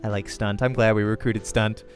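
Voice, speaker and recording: A man's voice: lowish voice, flat delivery, moderate pace. A man reading a visual novel aloud as the host of a let's play, narrating in character voice and prose. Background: music.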